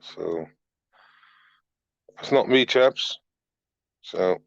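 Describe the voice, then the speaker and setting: A man's voice: sits low, very slow, vocal fry, a bit bright, no echo, muffled recording. A Welsh man hosting a live stream and commenting casually on riot footage, rambling with crude, sarcastic asides. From Wales.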